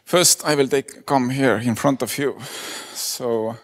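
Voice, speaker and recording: Man giving a halting talk. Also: medium pitch. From Estonia. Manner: hesitant, many fillers. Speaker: conference speaker. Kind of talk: lightning talk presentation. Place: conference hall. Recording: echoey conference hall.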